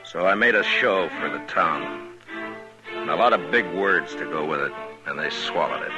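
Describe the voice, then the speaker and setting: An actor playing a stern, hard frontier marshal in a 1950s radio drama, with a deep, commanding voice. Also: male voice, expressive, moderate pace. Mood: reflective. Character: stern frontier marshal, middle-aged man, steady and hard. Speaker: lead actor and narrator. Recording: dull old radio recording with music.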